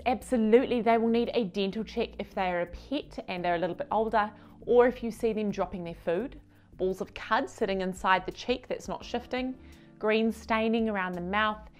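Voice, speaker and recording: Chatty woman vet explaining to camera. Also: mid-range voice. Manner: chatty, gestures with hands while explaining. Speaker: veterinarian. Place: home studio with shelves.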